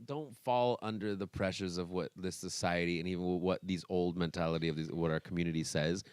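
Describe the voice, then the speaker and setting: A man's voice: low-pitched, steady tone, brisk, darkish tone, clear, resonant tone, no echo, clean studio sound. A man co-hosting a podcast, rambling casually through jokes and opinions. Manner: joking, mock-indignant, rambling. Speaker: podcast co-host, dance teacher.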